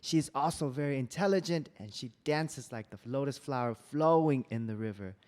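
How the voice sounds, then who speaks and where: Table read: male actor as deferential Khmer royal counsellor, medium pitch, stage play. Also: moderate pace, darkish tone, lightly acted. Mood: respectful.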